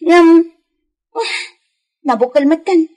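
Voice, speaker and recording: Rebellious teen daughter, extremely high voice, petulant and stubborn, radio drama. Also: female voice, lively intonation, slow pace, a little mellow, full character voice. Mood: bitter outburst. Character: rebellious, heartbroken teenage daughter, stubborn and defiant.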